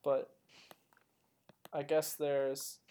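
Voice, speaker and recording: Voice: mid-range voice, level tone, very slow, warm tone, clean tone. Teen speaking candidly in a chat. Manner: candid, laughs often. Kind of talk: casual group chat.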